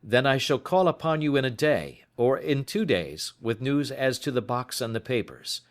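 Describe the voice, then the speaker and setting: A man voicing a troubled, courteous young Victorian gentleman in an audiobook, earnest and measured. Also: mid-range voice, lively intonation, voice pushed up, natural voice. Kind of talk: audiobook character voice.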